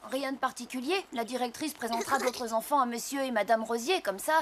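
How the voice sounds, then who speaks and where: A woman's voice: fairly high pitch, fairly even intonation, brisk, noisy background, lightly acted. A woman voicing an earnest, polite young orphan boy in a French cartoon dub. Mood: sincere. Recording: music behind the voice.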